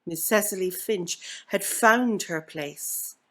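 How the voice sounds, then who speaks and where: An Irish woman performs verse in a theatrical, deliberate way. Her voice sits at an average female pitch, and she takes her time over each line with markedly slow phrasing. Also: bright, forceful tone. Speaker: actor reading a poem. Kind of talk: dramatic poetry reading.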